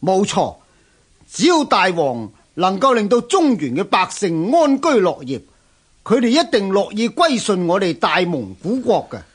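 Radio drama general: earnest, respectful, husky, very lively delivery, Hong Kong Cantonese accent. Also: male voice, high-pitched, unhurried, heavily acted. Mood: earnest, persuasive. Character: deferential, earnest Chinese general advising his lord. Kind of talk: historical radio drama acting.

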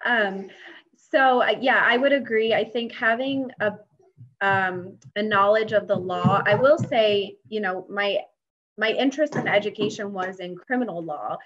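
Woman, American accent, medium pitch, panel discussion, explanatory, muffled webcam audio. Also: measured pace, fairly bright tone. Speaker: panelist, mediator. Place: indoors, home dining room. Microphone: video call computer microphone. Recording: dull webcam video-call audio.

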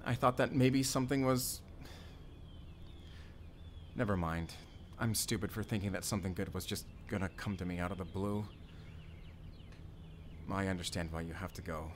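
A man's voice: sits low, normal speed, pauses often, noisy background, lightly acted. A man playing a hurt, pleading, lovelorn young man in an audio roleplay. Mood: sad, resigned.

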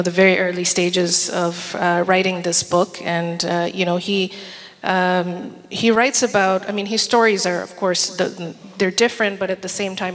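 A woman author from Bangladesh speaks earnestly into a handheld mic, often repeating a word while she finds the next. Her pitch stays level and even. Her voice carries a long hall reverb. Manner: earnest, repeats words while searching for them. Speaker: author on a panel. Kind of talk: panel discussion answers. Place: large event hall with an audience.

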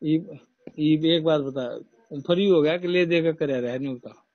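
A man's voice: on the high side, moderate pace, dry recording. A man with a Haryanvi accent speaking as an official in a casual, insistent phone conversation, talking in roundabout hints. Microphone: phone call recording. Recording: dull lo-fi recorded phone call.

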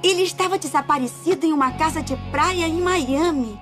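A woman plays an inquisitive young Brazilian cartoon detective. Her voice is high and girlish, with music playing behind it. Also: brisk, close to an everyday voice. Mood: explaining, curious. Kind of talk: animated cartoon dubbing.

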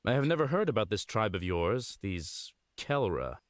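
A man voicing a loyal, dutiful knight retainer in a fantasy game, formal and courteous with a deadpan streak. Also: on the low side, moderate pace, no room sound, clean studio sound. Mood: wary, formal. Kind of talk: fantasy game character acting.

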